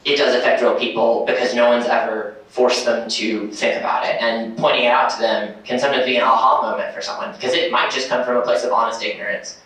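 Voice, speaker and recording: A man's voice: mid-range voice, little pitch movement, fast talker, hardly any pauses, fairly bright tone, distant mic. Rambling man in an echoey hall. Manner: rambling, many fillers. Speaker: panelist. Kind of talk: casual panel discussion. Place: convention panel room.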